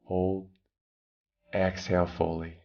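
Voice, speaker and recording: A very low, gravelly male voice leads a guided meditation, speaking calmly and slowly. He gives breathing instructions with long pauses between them. The recording sounds slightly dull and lo-fi.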